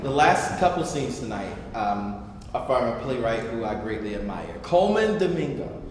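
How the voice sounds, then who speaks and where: Animated man hosting in an echoey hall. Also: medium pitch, moderate pace, a little hiss or hum. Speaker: host of a staged reading. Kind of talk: informal stage announcing to a live audience. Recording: echoey hall, crowd, live event.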